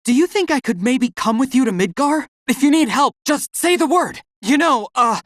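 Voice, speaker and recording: A woman's voice: sits high, expressive, moderate pace, dry recording, clean studio sound. An actress playing an eager, restless small-town young woman in a video game. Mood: eager, hesitant. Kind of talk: video game character dialogue.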